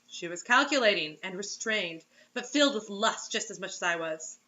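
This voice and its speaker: A woman's voice: mid-range voice, animated, normal speed, pushed and bright, muffled recording. A woman giving a theatrical, giggly, mocking read-aloud of amateur fiction, with asides. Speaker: amateur reader of bad fiction.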